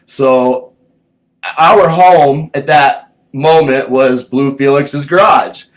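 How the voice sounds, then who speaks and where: A man's voice: medium pitch, slow pace, low-quality recording. A man hosting a show, casually recounting his own past in a laid-back, meandering way, thinking aloud as he goes. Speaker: host recounting his music career. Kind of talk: casual personal storytelling.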